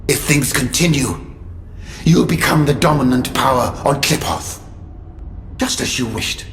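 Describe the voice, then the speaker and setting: A man playing a solemn, calculating strategist in a video game cutscene, with measured, deliberate delivery. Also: mid-range voice, a bit bright. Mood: assured. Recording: echoey game audio with noise.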